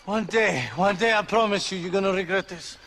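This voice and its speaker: High-voiced treacherous Mexican outlaw. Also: male voice, a little fast, noisy background. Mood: bitter, spiteful. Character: cornered treacherous male outlaw, pleading then spiteful. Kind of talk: Western video game acting.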